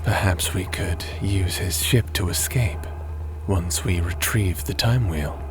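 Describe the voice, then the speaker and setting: A man playing a gentle, cautious fantasy companion in an ASMR roleplay, speaking in a measured, soothing, slightly formal way. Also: low voice, background noise. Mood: thoughtful. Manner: measured, soothing, slightly formal, sometimes breathy near-whisper. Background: music.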